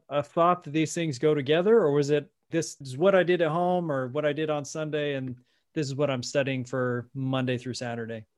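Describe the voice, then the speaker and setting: A man hosting a podcast interview, asking questions in a casual, conversational way. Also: medium pitch.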